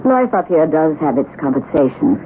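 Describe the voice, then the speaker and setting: A woman's voice: low-pitched, very animated, normal speed. Gracious refined widow lady in old radio play, formal and curious, tinny sound with a bit of hiss. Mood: tender, probing. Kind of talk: 1970s radio drama acting. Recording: tinny vintage radio recording, background noise.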